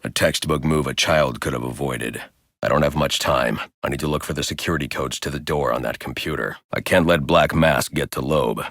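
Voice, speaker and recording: An actor voicing a grim, brooding masked vigilante detective in a video game, speaking in a terse, stern, deadpan way. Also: male voice, extremely low pitch, fairly quick, dry recording, clean studio sound, heavily acted. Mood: stern, focused. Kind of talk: scripted video game voice lines.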